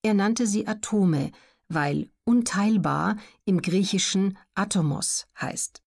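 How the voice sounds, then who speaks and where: A woman reading scripted documentary narration for an audiobook in a measured, clearly enunciated way. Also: mid-range voice, darkish tone.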